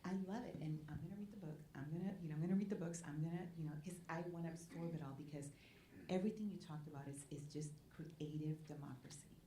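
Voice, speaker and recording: Low-voiced woman. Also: fast talker, warm tone. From Puerto Rico. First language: Spanish. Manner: earnest, often asks the room "right?". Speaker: nonprofit president speaking at a panel. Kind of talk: public talk at a community forum. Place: college event hall. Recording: echoey hall with faint noise.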